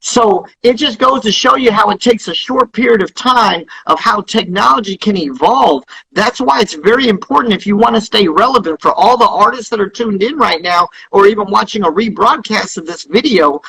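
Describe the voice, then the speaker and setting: Male interview guest, high register, husky tone, emphatic and advisory explainer. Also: expressive, normal speed, hardly any pauses, sharp tone, poor audio. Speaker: interview guest, radio tracking company founder.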